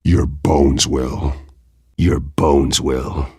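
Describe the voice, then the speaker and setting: Casting: grim masked game vigilante, extremely low husky growl, terse, menacing, slow and controlled. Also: male voice, fairly even intonation, a little mellow, no room sound, studio quality, exaggerated voice. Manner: terse, brooding, deliberate. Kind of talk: video game voice acting, terse investigative lines.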